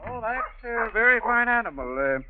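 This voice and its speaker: A man's voice: extremely high pitch, big pitch swings, moderate pace, very noisy. Polite old-radio shop clerk guy, deferential, thin tinny sound. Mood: courteous. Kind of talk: 1940s radio drama acting.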